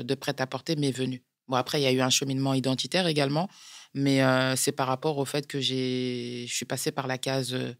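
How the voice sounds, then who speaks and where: A woman with a French accent, a brand founder answering interview questions in a measured way, pausing often to find her words. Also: rumbling low, no echo, clean studio sound. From France. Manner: measured, explains step by step with frequent hesitations. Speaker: guest, clothing brand founder. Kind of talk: interview conversation.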